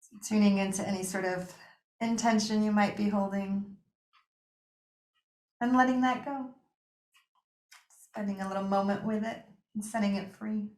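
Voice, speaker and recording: A woman leading a guided meditation as a yoga nidra teacher, speaking in a gentle, soothing, unhurried way with pauses. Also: medium pitch, steady tone. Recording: echoey room.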